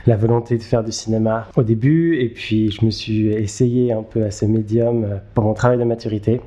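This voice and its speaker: Man, lowish dark relaxed voice, chatting as a guest, lots of halting repeats. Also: lo-fi sound. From Geneva, Switzerland. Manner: hesitant, halting, repeats words as he thinks. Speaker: interview guest, filmmaker. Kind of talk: conversational interview answers.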